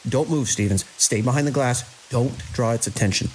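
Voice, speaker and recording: An actor playing a grim, commanding security chief in a horror audio drama, giving terse, urgent orders. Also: male voice, mid-range voice, moderate pace, natural voice, poor audio. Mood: tense, commanding. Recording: constant hiss and rain-like noise.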